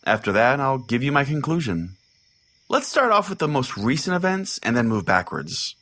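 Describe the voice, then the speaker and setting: A man's voice: mid-range voice, sing-song, normal speed, a bit bright. A man playing an irritable, foul-mouthed pastor who hosts a comedic call-in radio show, sarcastic and theatrical in his delivery. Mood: irritated ranting. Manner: exasperated, sarcastic, theatrical storytelling.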